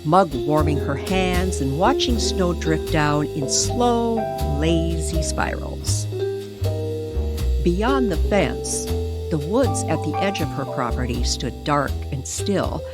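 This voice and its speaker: A woman narrating a scripted, old-time radio style mystery story with deliberate, suspenseful phrasing. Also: low voice, animated. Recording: music playing behind the voice. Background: music.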